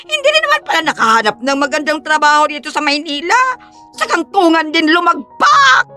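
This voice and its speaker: A woman's voice: high voice, sing-song, brisk, bright, forceful tone, soft background music, heavily acted. A woman playing a boastful, snobbish middle-aged aunt in an audio drama, with a mocking, gloating manner.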